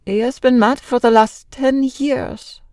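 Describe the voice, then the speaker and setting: A woman playing a grieving, sorrowful Frenchwoman in an old-time radio drama, speaking formally and haltingly. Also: high-pitched, steady tone, darkish tone, no echo, studio quality. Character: grieving Frenchwoman, wife of a mad composer, sorrowful.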